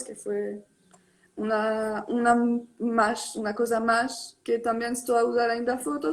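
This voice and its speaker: Guest: young woman, high voice, hesitant code-switching speech over a video call. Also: gravelly. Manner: mixes Spanish and Portuguese, hesitant with many fillers. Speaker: tattoo artist guest. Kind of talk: casual online live interview.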